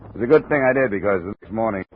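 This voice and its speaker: An actor playing a wry, hard-boiled investigator in a 1950s radio drama, dry, clipped and sardonic. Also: male voice, on the low side, expressive, racing, lightly acted. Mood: dry narration. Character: wry, hard-boiled insurance investigator narrating his cases. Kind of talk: 1950s radio detective narration and acting. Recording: narrow, noisy old radio recording.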